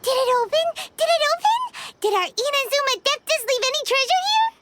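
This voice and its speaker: A woman voicing a tiny, excitable fairy girl companion in a video game, with a squeaky, childlike voice and bubbly, exclamatory delivery. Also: a little fast. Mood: curious. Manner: bubbly, exclamatory, speaks of herself in third person. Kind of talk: animated video game voice acting. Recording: game music behind the voice.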